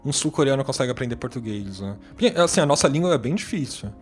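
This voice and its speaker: A man interviewing a guest, asking curious questions in a casual, chatty way and often tagging them with a quick check. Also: mid-range voice, animated, slightly rough.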